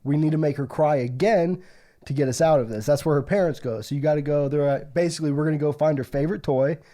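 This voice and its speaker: A man's voice: a little high, quick pace, dark tone. A young American man co-hosting a podcast, chatting and telling stories in a joking, slangy way. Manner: joking, slangy, laughs often. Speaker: podcast co-host. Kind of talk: casual chat and storytelling.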